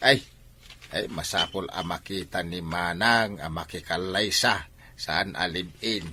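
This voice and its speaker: A man's voice: sits low, a little slow, background noise. An actor with a Filipino Ilocano accent playing a troubled, exclamatory drinking father in a radio drama.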